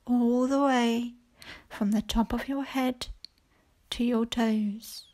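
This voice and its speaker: A woman teacher leading a guided mindfulness body scan for children, speaking calmly and gently in short phrases with long pauses. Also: fairly high pitch. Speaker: primary school teacher leading a guided meditation.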